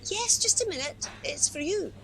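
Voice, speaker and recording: A woman's voice: very high voice, animated, normal speed, creaky, loud music behind the voice, heavily acted. A woman playing a polite, hesitant and rather nosy helpline volunteer in a radio play, with an apologetic manner. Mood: polite, flustered. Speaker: helpline phone operator.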